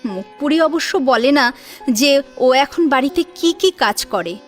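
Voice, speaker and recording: A high-pitched female storyteller. She reads a scripted tale with expression, in the manner of audio drama narration. Gentle music plays behind her voice. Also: lively intonation, normal speed. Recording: music under the voice.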